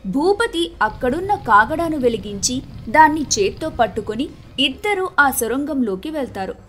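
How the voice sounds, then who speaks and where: Woman narrator, storytelling, high pitch, bright tone, soft music behind. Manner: steady, clear storytelling.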